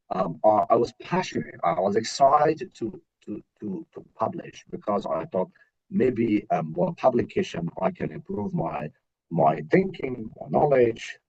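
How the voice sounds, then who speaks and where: Male academic presenter, Afghan accent, mellow and husky, lively intonation, hesitant delivery with repeated words, muffled webinar sound. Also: mid-range voice. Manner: halting, searching for words, repeating himself. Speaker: PhD researcher and university teacher presenting. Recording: dull, roomy webinar audio.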